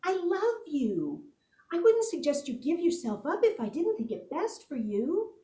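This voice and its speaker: Actress as loving worried woman, old radio drama, high swoopy voice, coaxing, echoey room. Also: very animated, a little slow, warm tone. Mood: pleading, tender. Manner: earnest, coaxing. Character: loving, pleading woman urging a fugitive to surrender. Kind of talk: 1940s radio melodrama acting. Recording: roomy, echoing stage sound.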